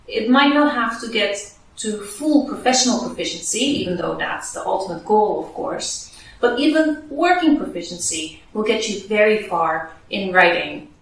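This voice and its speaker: A woman's voice: mid-range voice, a bit bright, recorded from a distance. Echoey woman giving advice. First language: Dutch. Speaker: podcast host giving advice to researchers. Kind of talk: scripted advice video. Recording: very echoey room, voice away from mic.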